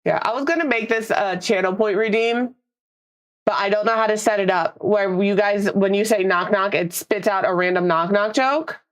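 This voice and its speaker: A young woman streaming live, talking loosely and rambling with her chat at a mid-range pitch. Her voice sounds a little stuffy and nasal. She laughs easily as she goes. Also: moderate pace.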